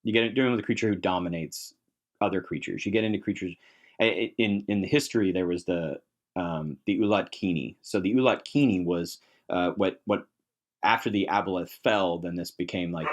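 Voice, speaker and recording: Male podcast guest, lower-pitched voice, enthusiastic and emphatic, casual conversational delivery. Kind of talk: casual discussion of tabletop game monsters.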